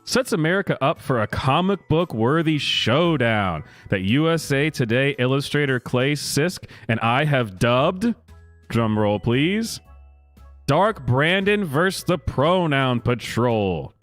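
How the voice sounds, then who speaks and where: A man reading a news story aloud as a commentary host, mock-dramatic, with sarcastic asides and theatrical pauses. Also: mid-range voice, big pitch swings, a bit bright, no echo. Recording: music playing behind the voice. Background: music.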